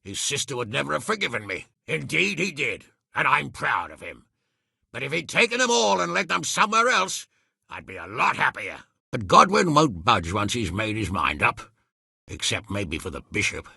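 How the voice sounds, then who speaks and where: A hot-tempered medieval lord and commander from a video game. His voice is very forceful and hard-edged, gravelly and husky, with blunt, blustering delivery. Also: mid-range voice, very animated, moderate pace, dry recording, clean studio sound, exaggerated voice. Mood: grave, weary. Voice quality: raspy, gravelly. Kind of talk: dramatic video game voice acting.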